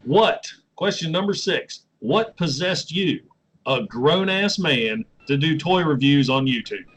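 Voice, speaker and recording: Man, West Virginia accent, laid-back folksy host, slightly high voice, casual chat. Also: lively intonation, a little slow, a bit bright, a little hiss or hum, rough recording. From West Virginia, USA. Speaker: YouTube show host.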